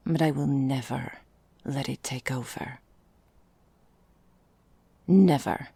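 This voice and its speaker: A woman playing an ancient, possessive vampire mistress in an ASMR roleplay, speaking in a breathy, husky half-whisper with deliberate, formal phrasing. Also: low-pitched, dark tone, dry recording, heavily acted. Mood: tormented, intense. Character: female vampire mistress, ancient, possessive, seductively menacing, melancholy. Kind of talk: ASMR roleplay, intimate dramatic monologue.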